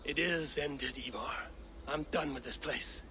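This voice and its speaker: An actor playing a stern, battle-weary Viking warrior in a video game, solemn, terse and menacing. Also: male voice, a little high, unhurried, pushed and bright, slight background noise. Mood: weary, resolute. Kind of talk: dramatic video game acting.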